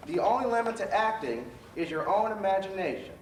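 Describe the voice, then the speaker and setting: A jovial doctor of fun played by a man with a very high male voice. He hosts children's stage storytelling a little haltingly, distant in a roomy space. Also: lively intonation. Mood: genial. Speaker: host. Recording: roomy, distant stage recording with faint noise.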